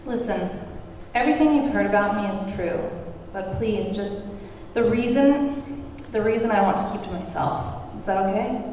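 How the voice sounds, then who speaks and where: A woman's voice: medium pitch, moderate pace, dark tone, a little hiss or hum, close to an everyday voice. A woman playing a solemn, secretive caller at a drama table read, recorded from a distance in an echoey room. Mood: solemn, pleading.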